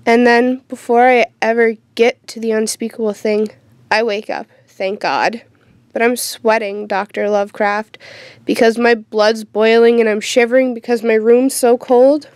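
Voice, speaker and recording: A woman's voice: on the high side, level tone, a little slow, clear, resonant tone, no echo, close to an everyday voice. A woman playing a teenage girl patient in a radio drama, confiding her eerie nightmares in a colloquial, youthful way. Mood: shaken. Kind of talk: first-person radio drama narration and dialogue.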